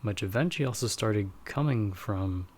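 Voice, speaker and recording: A man playing a watchful bounty hunter in an audio roleplay, explaining things to his companion in a measured, slightly formal way. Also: fairly low pitch, darkish tone, close to an everyday voice. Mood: calm, watchful. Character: calm, watchful male bounty hunter with magical wards. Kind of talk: ASMR audio roleplay acting, speaking to the listener as companion.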